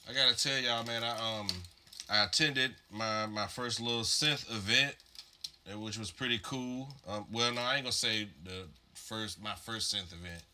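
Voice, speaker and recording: A man talks in a loose, rambling way, full of fillers and "man." His voice sits fairly low but sounds very bright, with a faint noise under it. He sounds a little stuffed up, like someone getting over a cold. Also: steady tone, normal speed. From Virginia, USA. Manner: laid-back, rambling, many fillers and "man". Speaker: livestream host building modular synth patches. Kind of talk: casual live-stream chat.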